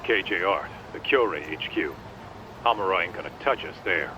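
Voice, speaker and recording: A man's voice: low-pitched, animated, normal speed. An actor playing a brash, easygoing tough guy in a video game, heard through a phone call filter. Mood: confident. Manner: cocky, slangy. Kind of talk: English video game voice acting. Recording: muffled phone call, noisy street ambience.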